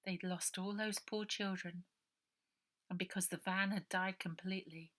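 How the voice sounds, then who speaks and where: A woman author reading her own children's adventure novel aloud, lively, dropping to a whisper for suspense. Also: mid-range voice, normal speed. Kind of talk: storybook narration for children.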